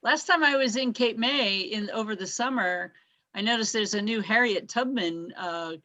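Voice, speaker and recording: A woman's voice: a little high, normal speed, sharp tone, dry recording. A woman from Pennsylvania chatting with enthusiasm and agreeing readily in casual talk. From Berks County, Pennsylvania. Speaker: guest writer. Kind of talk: casual chat.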